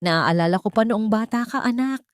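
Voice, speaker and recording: A woman voicing a gentle, affectionate mother in a story, tender and polite in manner. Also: a little high, moderate pace, clear, resonant tone, dry recording, studio quality, pitched above the performer's own voice, lightly acted. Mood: fond.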